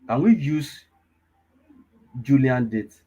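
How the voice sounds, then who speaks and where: A man's voice: mid-range voice, expressive, takes it slowly, mellow, muffled recording. A man, teaching a live online data class, repeating himself and often checking whether listeners follow. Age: 20s. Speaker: data analysis instructor.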